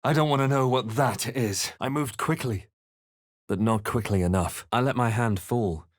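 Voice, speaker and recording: A man playing a composed, wry adventurer hero in a video game, telling events in a measured, literary way. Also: mid-range voice, no room sound, clean studio sound, lightly acted. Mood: composed, narrating. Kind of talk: scripted video game narration and battle lines.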